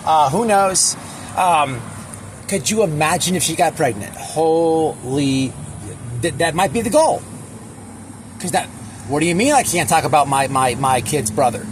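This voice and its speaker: High-pitched man ranting over clear background noise. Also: expressive. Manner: repetitive, halting, emphatic, crude slang. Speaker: online commentator. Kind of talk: casual opinionated reaction rant.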